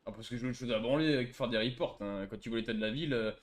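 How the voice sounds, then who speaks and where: A man's voice: medium pitch, racing. A man arguing casually in online game voice chat, with a sarcastic, needling manner. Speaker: player trolling a roleplay game server.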